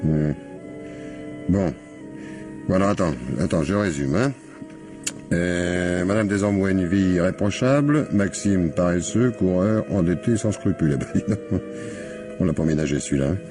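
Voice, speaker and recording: An actor playing a shrewd, probing police commissioner in a radio mystery, with a colloquial, wry manner. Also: male voice, low voice, normal speed, long pauses, over music, natural voice. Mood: brisk, wry. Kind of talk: French radio mystery play acting.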